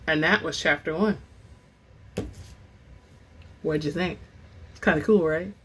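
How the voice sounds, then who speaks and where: A narrator reading and presenting fiction in an expressive, theatrical storytelling manner as host of a reading channel. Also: medium pitch, a little slow, slight background noise. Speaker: audiobook-style reader and channel host. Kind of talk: dramatic book narration; casual chatty sign-off to listeners.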